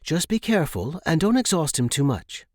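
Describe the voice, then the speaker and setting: A male narrator puts on a brisk, caring woman who looks after dragons in a storybook tale. The voice is lifted above the narration, firm and gently scolding, in a dry recording. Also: on the high side, very animated, no room sound, voice pushed up. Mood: cautioning.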